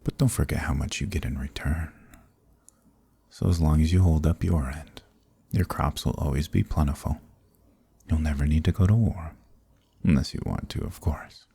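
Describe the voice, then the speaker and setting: A man voicing an ancient archdemon who is smug, amused and condescending, in a breathy, murmured dark fantasy ASMR roleplay with teasing, leisurely politeness. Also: rumbling low, no room sound, full character voice. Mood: smooth, tempting.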